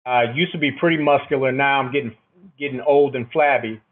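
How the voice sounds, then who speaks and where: Booming middle-aged man on a phone-like line. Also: steady tone, fairly quick. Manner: reflective, often checks with "right?". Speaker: military officer, podcast guest. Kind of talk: conversational interview answers. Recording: thin, phone-like band-limited line.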